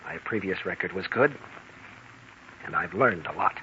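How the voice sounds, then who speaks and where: A low, forward-voiced young intern, brooding and vengeful, in 1940s radio drama style. He sounds like someone nursing an old wrong. The recording is muffled, with faint hiss. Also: lively intonation, moderate pace, pushed and bright. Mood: bitter, resigned. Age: young adult.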